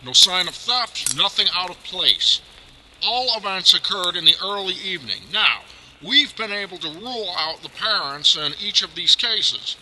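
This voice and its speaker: An actor playing a police commissioner giving a formal, deliberate public address in old-time radio style. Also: male voice, high voice, bright, forceful tone, heavily acted. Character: brisk, official male police commissioner. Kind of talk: 1940s radio acting. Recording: clearly noisy background.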